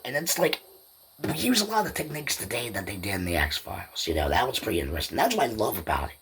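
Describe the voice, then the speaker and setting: A man's voice: low-pitched, fairly bright tone, husky. A man hosting a podcast, talking in a casual, rambling solo commentary that loosely circles back over points. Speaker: podcast host.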